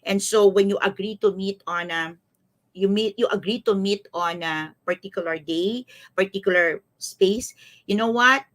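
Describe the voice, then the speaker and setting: A woman in her fifties speaks with a Filipino accent at a middling pitch. She is a life coach hosting a live online talk, repeating key phrases and regularly checking in with her listeners. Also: moderate pace, rough recording. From Philippines. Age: 50s.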